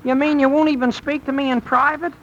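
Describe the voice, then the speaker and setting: A man playing a pushy, scheming teenage boy with a creaky voice, in 1930s radio comedy style. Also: very high voice, level tone, fast talker, a bit bright, lo-fi sound. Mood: sly, awkward. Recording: noisy 1930s radio broadcast recording.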